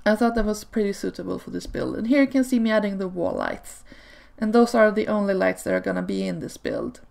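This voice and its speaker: Gravelly-voiced woman chatting over gameplay. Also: mid-range voice, a little fast. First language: Swedish. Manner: relaxed and chatty, with frequent ums and small laughs. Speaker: video creator. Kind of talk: casual voice-over commentary on gameplay. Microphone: home desk microphone.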